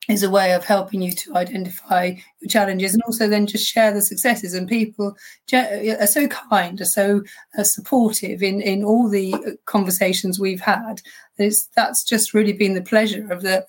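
A woman with a slightly husky voice, a small business co-founder answering interview questions in an earnest, reflective way with frequent restarts. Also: mid-range voice.

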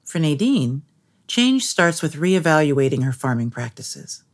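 Woman reading documentary narration, bit on the low side, really varied pitch. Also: big pitch swings, unhurried. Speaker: narrator and interviewer.

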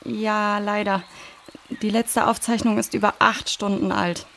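A woman's voice: mid-range voice, fairly even intonation, measured pace, gravelly, a bit bright. A woman playing a practical, level-headed leader of young adventurers in a children's audio drama. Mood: focused.

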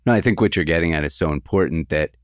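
A man's voice: extremely low pitch, no echo, clean studio sound. A man interviewing an artist, asking careful questions and framing them at length. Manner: measured, attentive, frames questions at length.

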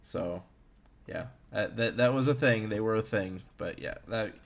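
A man's voice: on the low side, a little slow, vocal fry. A man in his early thirties chatting casually as a podcast host about video games, in a rambling, self-deprecating way. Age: early 30s.

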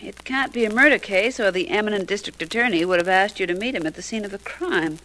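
An actress playing an eager, bright young woman in an old-time radio drama. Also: mid-range voice, fast, lightly acted, low-quality recording. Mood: teasing, wry.

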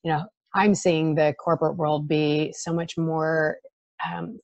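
A woman's voice: low voice, level tone, measured pace, dry recording, muffled recording. A woman hosting an interview, affirming her guest and speaking with many "you know" fillers. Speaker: host. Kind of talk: conversational interview.

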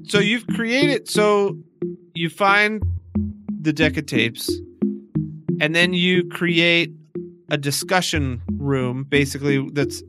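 A man's voice: fairly high pitch, slow pace, long pauses, over music. A man hosting a documentary podcast, narrating and interviewing in a casual, enthusiastic, conversational way.

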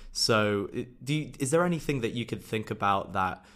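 A man's voice: on the low side, lively intonation, moderate pace, fairly bright tone. A man co-hosting an interview, encouraging and asking loose, rambling questions.

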